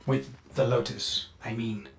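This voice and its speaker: An actor playing an eager, anxious and doting robotic ship AI servant in a video game, fussy and theatrical. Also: male voice, medium pitch, fairly even intonation, unhurried, vocal fry, a little hiss or hum. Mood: anxious, helpful. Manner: fussy, theatrical, over-solicitous. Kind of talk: video game character dialogue.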